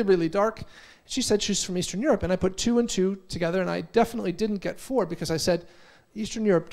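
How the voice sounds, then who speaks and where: An American man in his forties giving an energetic motivational conference talk, telling stories and often repeating words. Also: sits high. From United States. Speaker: conference speaker. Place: conference hall.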